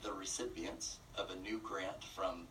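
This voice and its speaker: Low voiced guy as radio guest, explains stuff with lots of pauses, faint noise behind. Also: steady tone, fairly bright tone, recorded from a distance, poor audio. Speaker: interview guest, nonprofit representative. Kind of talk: conversational explaining in radio interview.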